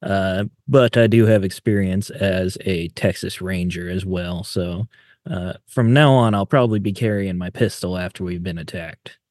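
A man introduces his tabletop roleplaying character in a plain, matter-of-fact way. His voice sits low and sounds dry, with no room around it. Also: moderate pace, a little mellow, dry recording. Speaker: player.

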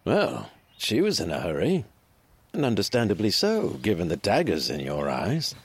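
A man playing a conflicted, cautious and wry nobleman in an audio drama, with measured courtly phrasing and a defensive edge. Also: deep voice, huge pitch swings, breathy edge, exaggerated voice.

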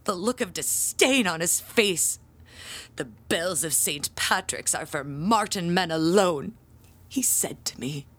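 An actress playing a proud, pompous handbell ringer, telling family lore in grandiose audio drama style. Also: female voice, lowish voice, lively intonation, slow, a bit bright, slightly rough, exaggerated voice. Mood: wounded, dramatic. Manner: grandiose, theatrical storytelling.

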